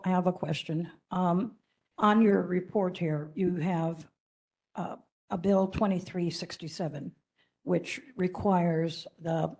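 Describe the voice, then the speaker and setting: A woman with a hoarse voice asks a polite, slightly apologetic question at a neighborhood meeting. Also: on the low side, mellow.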